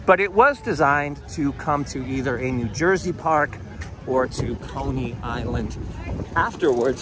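New man guiding a boardwalk walk. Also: a little high. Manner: informative, tour-guide delivery with drawn-out emphasis. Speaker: walking tour guide. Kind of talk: narrated walking tour. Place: outdoors on a busy seaside boardwalk. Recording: outdoor street and crowd noise.

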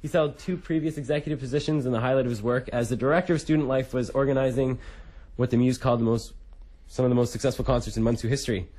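A man's voice: medium pitch, fairly even intonation, fast talker, a little mellow. A young man hosting a casual radio interview in a studio. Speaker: radio host.

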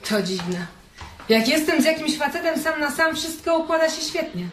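An actress playing a bored, lonely wife in a radio drama, brash and mocking, with a provocative edge. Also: female voice, sits high, big pitch swings, normal speed. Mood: bitter complaint. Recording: roomy, noisy radio play recording.

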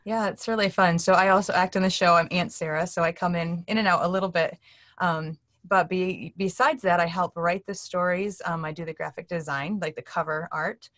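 Woman guest with a lowish voice. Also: steady tone, brisk, fairly bright tone, dry recording. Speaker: interview guest. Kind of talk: casual interview chat. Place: indoor room, on a video call.

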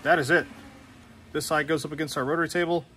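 Relaxed man explaining shop work. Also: on the high side, fast talker, background noise. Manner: relaxed, explains step by step with fillers. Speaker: machine shop owner. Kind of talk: casual workshop walkthrough. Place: machine shop beside a CNC mill.

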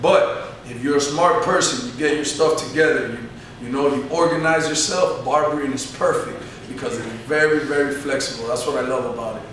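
A man's voice: mid-range voice, fairly quick, fairly bright tone, slight background noise. A man in his thirties, a barbershop owner, giving earnest motivational answers in a sit-down interview, peppered with "you know". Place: barbershop, indoors. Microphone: camera mic from across the room. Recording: echoey room, mic far off.

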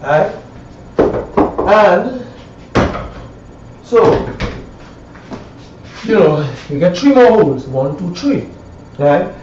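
A man's voice: sits high, very animated, extremely slow, long pauses. A man with a Caribbean accent giving a casual hands-on woodworking demonstration, rambling and repeating phrases with frequent checking tags. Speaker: woodworker demonstrating hand tools. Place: small cluttered indoor woodwork shop. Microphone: camera a few metres away. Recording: echoey room, distant mic, dull, faint noise.

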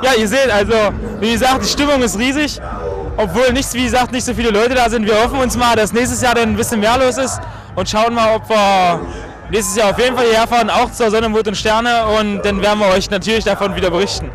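A young man reporting casually and loudly from a festival, joking informally into a handheld microphone. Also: high voice, fairly even intonation, brisk. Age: young adult. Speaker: event reporter. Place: outdoors at a night festival. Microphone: handheld microphone with foam windscreen. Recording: loud music and crowd noise behind.

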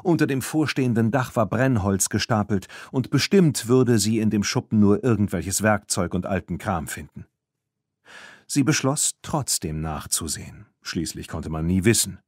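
A man's voice: on the low side, lively intonation, normal speed, darkish tone, slightly rough, no echo, studio quality. A man reading a scripted children's story as an audiobook narrator.

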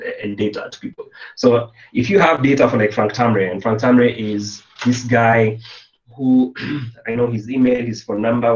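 Kenyan man teaching over a roomy webcam. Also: lowish voice. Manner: loose and chatty, many fillers and restarts. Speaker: programming instructor. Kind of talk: casual online lecture. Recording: roomy, distant, dull webcam sound.

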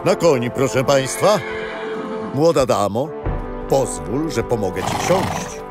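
A man's voice: mid-range voice, expressive, takes it slowly. An actor playing a gallant man of prewar times in a children's radio play, speaking in a courtly, declamatory way. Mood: courtly, proud. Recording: music behind.

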